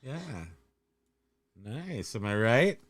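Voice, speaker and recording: A man's voice: medium pitch, lively intonation, very slow, no echo. A man co-hosting a livestream, chatting casually in a goofy, teasing, playful way. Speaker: co-host of a livestream. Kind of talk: casual joking livestream chat.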